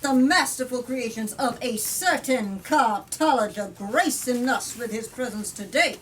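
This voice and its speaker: A woman's voice: on the high side, a little slow, natural voice. Casting: woman playing pompous alarmist statesman, storybook oratory, declamatory and formal, slightly off-mic. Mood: pompous, stern. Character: pompous alarmist male politician giving a speech. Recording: distant mic with background noise.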